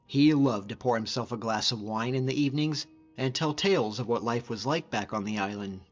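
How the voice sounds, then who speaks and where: A man's voice: mid-range voice, brisk, dull audio, faint music behind, natural voice. A man voicing a hardy, easygoing hunter and frost mage as a fantasy game companion, talking informally and sincerely in a reflective, plain-spoken way. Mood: fond, reminiscing. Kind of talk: conversational scripted game character dialogue.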